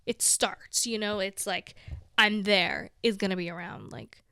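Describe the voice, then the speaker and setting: A woman's voice: mid-range voice, unhurried, creaky, pushed and bright, no room sound, clean studio sound. A woman in her twenties chatting casually as a vlogger, giggling often and filling her talk with "like.". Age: 20s. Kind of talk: casual chat to camera.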